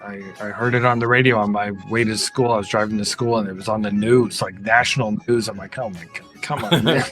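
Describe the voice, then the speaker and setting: A man's voice: lowish voice, normal speed, a bit bright, faint music behind. A middle-aged man co-hosting a podcast in casual, conversational chat, reminiscing. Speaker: podcast co-host.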